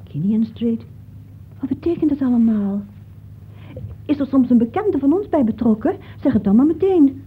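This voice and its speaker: A woman's voice: high voice, lively intonation, normal speed, long pauses. An anxious, inquisitive wife in a vintage radio play. She questions with domestic concern. The old recording is narrow and muffled, with faint noise underneath. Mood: alarmed. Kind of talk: Dutch radio play acting.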